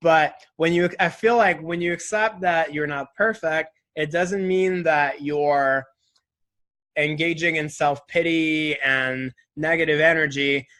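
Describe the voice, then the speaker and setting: A man's voice: on the high side, steady tone, a little slow, sharp tone. A man in his twenties talking casually and reflectively as an interview guest, earnest, often saying "like" and "right?". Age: 20s.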